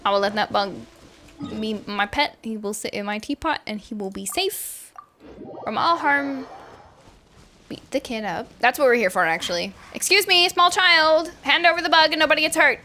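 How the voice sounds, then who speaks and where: A woman's voice: sits high, moderate pace, sharp tone. A woman streamer giving casual gameplay commentary, playful and exclamatory, drawing out her words. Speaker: game streamer, VTuber.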